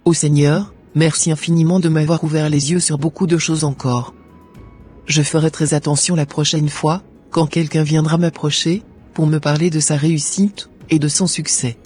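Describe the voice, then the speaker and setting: Guy doing a young churchgoing woman in a cartoon, a bit high and husky, earnest. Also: little pitch movement, moderate pace, a little mellow, soft background music. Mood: grateful. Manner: earnest, plaintive, then grateful and pious.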